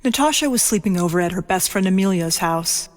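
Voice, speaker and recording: Female storyteller for scripted audio drama, plain measured read, mid pitch, light music underneath. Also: lively intonation. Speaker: narrator.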